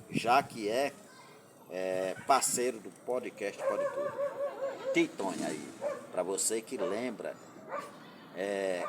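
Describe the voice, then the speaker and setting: A man hosting a podcast, introducing his guest with a lively, announcer-like delivery. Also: on the low side, noisy background. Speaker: podcast host.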